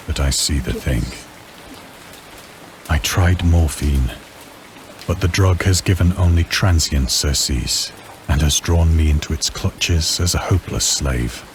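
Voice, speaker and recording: Despairing ship officer for horror game narration; extremely low, grave voice, steady rain noise behind. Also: male voice, unhurried. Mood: grim despair. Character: despairing doomed male ship officer, morphine addict. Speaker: story narrator in a video game. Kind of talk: dramatic scripted narration of a horror story.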